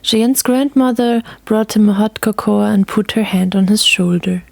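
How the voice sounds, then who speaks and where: A woman's voice: medium pitch, normal speed, vocal fry, darkish tone, dry recording. A woman reading scripted story narration as the narrator of an audio tale.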